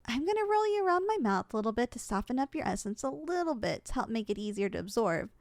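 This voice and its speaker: A woman's voice: on the high side, sing-song, fast talker, no echo. A woman voicing a young slime succubus in training, affectionate and competitive, in a sweet, coaxing ASMR roleplay style that is easily flustered.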